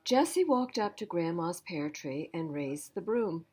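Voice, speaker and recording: A woman storyteller reads aloud for small children, emphasizing words and turning to ask them questions. Her voice is fairly low and husky, with a very animated melody. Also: unhurried. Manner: emphatic, addresses young listeners directly. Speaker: library storytime reader.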